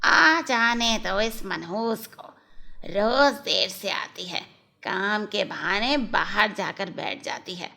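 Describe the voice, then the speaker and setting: A woman's voice: medium pitch, gravelly, sharp tone, full character voice. A woman voicing a harsh, angry aunt who scolds in a children's story. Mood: angry, scolding. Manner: scolding, snapping, drawn-out words.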